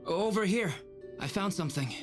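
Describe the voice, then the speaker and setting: A man playing a calm, analytical young alchemist in a video game, speaking precisely and reflectively. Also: high-pitched, moderate pace. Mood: puzzled. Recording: music under the voice.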